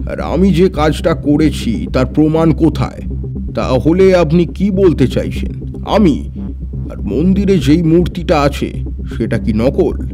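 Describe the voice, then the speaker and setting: A man's voice: a little high, expressive, slow. A man playing a wealthy village smuggler in an audio story, defensive, evasive and indignant as he denies everything. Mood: feigned innocence. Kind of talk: Bengali audio story acting. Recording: prominent music bed under voice.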